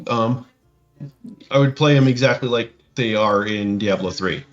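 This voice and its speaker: A man in casual chat as a podcast co-host, halting and stammering as he rambles through his thoughts. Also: lowish voice, highs cut off, faint music behind. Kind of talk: casual reaction chat about tabletop games.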